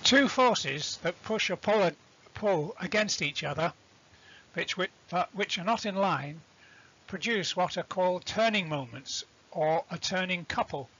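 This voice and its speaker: High-pitched, husky man explaining, lo-fi recording. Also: expressive, measured pace, pushed and bright. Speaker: instructor presenting a sailing tutorial. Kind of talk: explanatory lecture narration.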